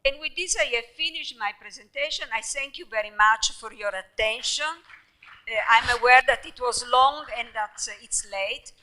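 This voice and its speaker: Bright Italian-accented woman lecturing. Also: fairly high pitch, low-quality recording. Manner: halting, searching for words, polite. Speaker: professor lecturer. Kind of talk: conference lecture.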